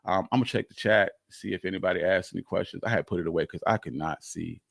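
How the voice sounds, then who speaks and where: A man's voice: low-pitched, fast, vocal fry, fairly bright tone, no room sound, clean studio sound. A man hosting a live history stream, talking casually and emphatically as he answers questions, often repeating phrases for weight.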